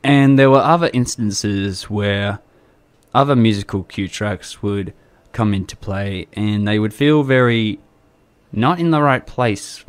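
Lowish-voiced Australian man talking movies. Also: unhurried, clean tone. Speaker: radio show co-host reviewing a film. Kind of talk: casual radio chat. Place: community radio studio.

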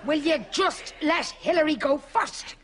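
An actress playing a patient grown-up daughter who keeps the peace in a sitcom. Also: female voice, high voice, measured pace, over a noisy crowd. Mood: placating. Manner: placating. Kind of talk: sitcom acting. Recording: noisy studio with loud audience.

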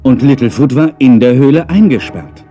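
A man's voice: mid-range voice, animated, moderate pace, warm tone, dull audio, soft background music. A man narrating a scripted children's audio story.

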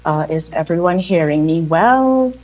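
A middle-aged woman with a Trinidadian accent presents over a video call, her voice somewhat low and husky. She speaks in a measured, careful way, slowing herself down on purpose. The sound comes from a laptop call played into a meeting room. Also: lively intonation, a little mellow, highs cut off, faint music behind. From Trinidad and Tobago. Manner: measured, deliberately slowed delivery. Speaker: insurance presenter. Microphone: video call on laptop, played through room speakers.

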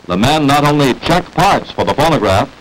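An American man reading scripted narration for a 1940s promotional industrial film, with emphatic, salesmanlike, deliberate phrasing, on an old film soundtrack. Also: medium pitch, expressive, rough recording.

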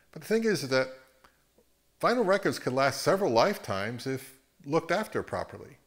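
A middle-aged man hosting a casual explainer at home, speaking in a measured, halting way. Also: medium pitch, fairly bright tone. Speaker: host of an audio hobby channel. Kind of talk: casual explainer to camera with some narration. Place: home living room.

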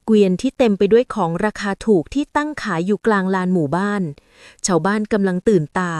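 A woman reading a scripted story aloud as an expressive storyteller. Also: medium pitch, a little fast, no room sound, clean studio sound.

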